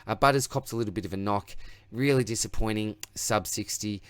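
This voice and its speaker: Aussie bloke talking his fantasy team, chatty and self-mocking, low smooth voice. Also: fairly quick, fairly bright tone, no echo. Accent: Australian. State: voice getting tired. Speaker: fantasy football channel host. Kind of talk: casual solo sports review.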